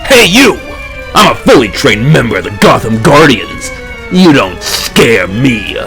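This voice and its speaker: A man's voice: medium pitch, very animated, a little slow, fairly bright tone, faint music behind, poor audio. A man playing a brash, defiant young vigilante guard in a comic dub.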